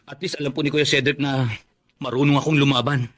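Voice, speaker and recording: A man's voice: mid-range voice, little pitch movement, fairly quick, fairly bright tone, natural voice. A man acting a wronged but proud younger brother in a Filipino radio drama, earnest and emphatic. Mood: hurt, earnest. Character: wronged, proud younger half-brother, respectful to his mother.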